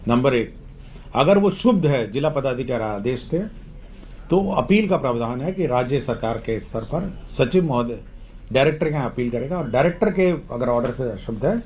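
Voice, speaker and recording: A man's voice: mid-range voice, lively intonation, normal speed, darkish tone, rough recording. Male government official, mid-range voice, explanatory briefing delivery, slight background noise. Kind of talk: explaining policy at a press briefing. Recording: faint background ambient noise.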